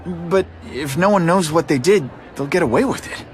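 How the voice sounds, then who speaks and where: An actor playing a young newspaper reporter in a video game, awkward and cheeky, with an informal, wry delivery. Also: male voice, a little high, moderate pace, soft background music, lightly acted. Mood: probing, cheeky. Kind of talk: video game cutscene acting.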